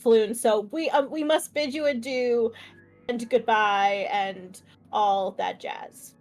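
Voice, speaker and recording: A woman's voice: a little high, steady tone, takes it slowly, soft background music. A woman playing a tabletop roleplaying game, speaking casually and partly in character.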